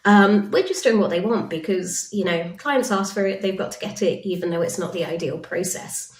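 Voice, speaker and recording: Female presenter, mid-pitched, frank and conversational, recorded in a roomy space away from the mic. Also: normal speed. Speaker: conference presenter, industry consultant. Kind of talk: informal conference-style presentation. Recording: roomy echo, distant microphone.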